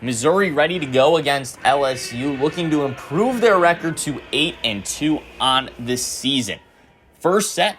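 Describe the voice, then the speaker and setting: A man's voice: mid-range voice, bright, forceful tone, a little hiss or hum. A young man working as a sportscaster, delivering sports commentary and opinion in an emphatic, argumentative manner. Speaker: sportscaster and sports talk host.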